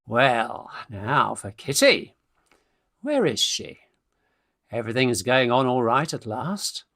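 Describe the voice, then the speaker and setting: An actor playing a flustered young Victorian gentleman in a radio farce, British, stammering and exclamatory, eager in courtship and bossy with friends. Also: mid-range voice, very animated, slow, creaky, full character voice. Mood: impatient, scheming. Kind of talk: stage farce acting for radio play.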